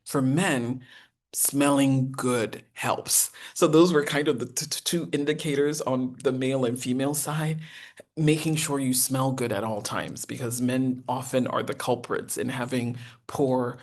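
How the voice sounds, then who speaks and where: A woman, teaching a professional etiquette masterclass in an earnest, encouraging way with deliberate enunciation. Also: fairly even intonation, darkish tone. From United States. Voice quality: deep voice. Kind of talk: masterclass lecture.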